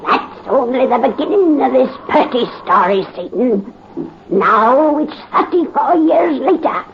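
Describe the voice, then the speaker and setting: A woman playing a gloating old witch who narrates a 1930s radio horror tale, slow and cackling. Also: high-pitched, lively intonation, gravelly, exaggerated voice. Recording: dull, faintly hissy 1930s radio recording.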